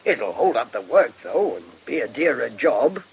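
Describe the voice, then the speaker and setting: An actor playing a cheery, deferential working-class tradesman in a radio drama, chatty and colloquial with a gravelly voice. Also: mid-range voice, very animated, normal speed, low-quality recording. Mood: chatty, practical.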